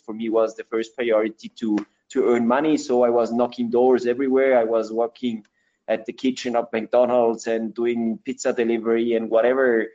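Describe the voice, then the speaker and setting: A mid-pitched man in his thirties from Ecuador answers an interviewer in careful second-language English. He talks haltingly, full of fillers and false starts, and the recording sounds muffled and lo-fi. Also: mellow. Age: 30s. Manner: halting, many fillers and restarts. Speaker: mountaineer guest.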